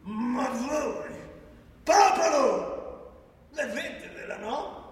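An actor playing a gloating, arrogant champion of big public works who sneers at common people, in a theatrical, mocking radio-play monologue. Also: male voice, extremely high pitch, lively intonation, extremely slow, far from the mic, exaggerated voice. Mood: gloating, domineering. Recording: echoey hall from afar, loud music.

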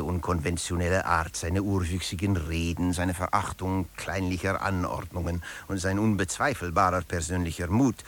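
An actor playing a management theorist who narrates his principle in a formal, didactic radio lecture. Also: sits low.

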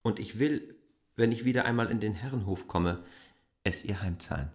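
A man playing a stubborn, logical outsider who questions things in a probing, deliberate way in an understated radio drama. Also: lowish voice, husky, no room sound, clean studio sound. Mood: puzzled, indignant.

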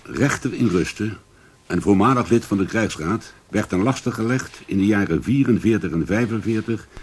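Casting: intent, preoccupied male investigator, lowish husky voice, radio play. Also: normal speed. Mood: earnest, insistent. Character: preoccupied, earnest man intent on investigating a case. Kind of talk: radio drama acting, conversational.

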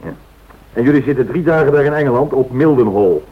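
An actor playing a blunt, bossy airline director in a radio play, curt and scolding. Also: male voice, medium pitch, animated, fast talker, slightly rough. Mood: stern, businesslike. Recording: tinny band-limited old radio recording, hiss.

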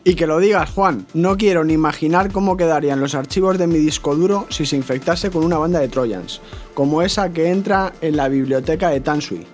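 A male co-host with a Spain accent banters in a scripted, colloquial, exclamatory way. His voice sits fairly high, with faint music behind it. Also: a little fast, a little mellow. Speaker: co-host, curious sidekick.

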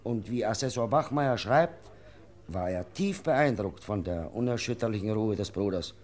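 An actor playing a fair-minded official in a radio play, reasoning formally and deliberately. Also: male voice, lowish voice, animated, a little mellow, natural voice. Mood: firm. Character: fair-minded, measured official defending a devout monk. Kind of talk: German radio play acting.

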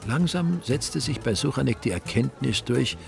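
An actor as the older storyteller of a radio crime comedy, narrating in a measured, wry way. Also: male voice, medium pitch, lively intonation, gravelly, music in the background. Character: wry male storyteller of a comic crime novel. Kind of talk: scripted radio drama narration.

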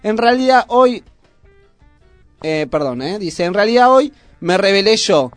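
A man with a high voice and a Buenos Aires accent hosts a casual radio chat. The sound is dry and close, with faint music underneath. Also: lively intonation, measured pace, no echo. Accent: Argentine, Buenos Aires. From Argentina. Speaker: radio host.

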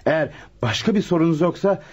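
An actor playing a shrewd, self-assured amateur detective in a Turkish radio drama, speaking in a measured, polite, slightly sly way. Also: male voice, fairly high pitch, lively intonation, a little mellow, slightly rough. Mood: self-justifying. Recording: muffled lo-fi radio drama recording.

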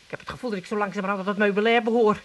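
An actress playing a neglected, reproachful middle-aged wife with an Amsterdam accent in a comic radio play. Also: female voice, mid-range voice, steady tone, racing, natural voice. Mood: aggrieved. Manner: reproachful, sharp-tongued. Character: neglected, nagging middle-aged wife, married twenty years.

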